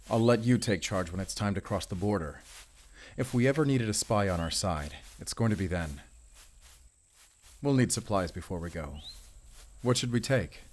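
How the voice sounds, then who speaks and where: A man playing a weary, protective werewolf hunter in an audio roleplay, earnest and soft-spoken. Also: fairly low pitch, natural voice. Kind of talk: intimate cinematic audio roleplay.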